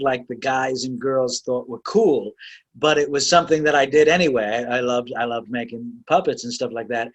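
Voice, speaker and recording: Guy hosting a podcast, average pitch, rambles a lot, laughs here and there. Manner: rambling, laughs often, many fillers. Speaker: podcast host. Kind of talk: casual video-call interview.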